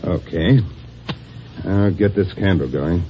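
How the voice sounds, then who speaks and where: A sly, chuckling schemer. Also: male voice, low-pitched, slow, slight background noise. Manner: falsely friendly, chuckling. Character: sinister jovial older man, a scheming wine lover. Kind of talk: 1950s radio drama acting. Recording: muffled old radio broadcast with music.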